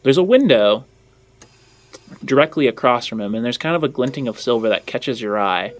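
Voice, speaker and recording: A man's voice: mid-range voice, lively intonation, normal speed. A man, improvising scene descriptions as a game master. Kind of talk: improvised tabletop game narration.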